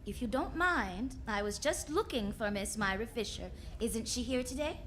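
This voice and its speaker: A woman's voice: a little high, animated, moderate pace, fairly bright tone, slight background noise. A woman playing a wisecracking, confident detective who tells her case in sassy slang, in old-time radio drama style. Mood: polite, probing. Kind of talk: 1940s radio drama acting.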